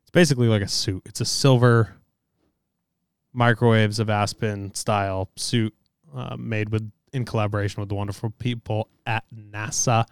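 A man's voice: fairly low pitch, steady tone, moderate pace, clean tone, no room sound, clean studio sound. A man hosting a podcast, talking loosely with lots of fillers and swearing, and tired after a long day. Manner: loose and profane, many fillers. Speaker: podcast host. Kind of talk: casual podcast talk with ad reads.

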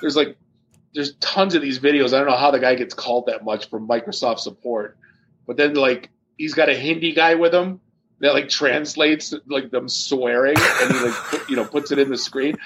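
An American man with a medium-pitched voice chats casually as a tech show co-host. He is blunt, sardonic and offhand, with casual swearing. A faint noise sits behind his voice. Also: normal speed. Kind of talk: casual chat.

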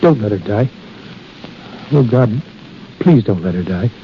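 Devoted soldier husband, anxious, urgent, highly animated pitch, 1930s radio acting, muffled broadcast. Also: male voice, a little slow, a little hiss or hum. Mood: desperate pleading. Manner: urgent, earnest, emotional.

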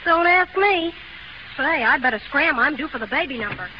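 A woman's voice: sits high, animated, moderate pace, noisy background. An actress playing a chatty, gossipy burlesque chorus girl with breezy American slang, in a 1930s radio drama style. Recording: muffled 1930s radio broadcast with music.

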